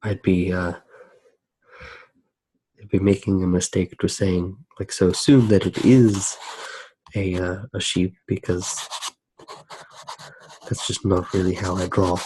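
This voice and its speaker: A man giving soft-spoken ASMR commentary as he draws, musing aloud in a hesitant way with pauses. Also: low-pitched, level tone, slight background noise, poor audio. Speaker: host of an ASMR drawing channel.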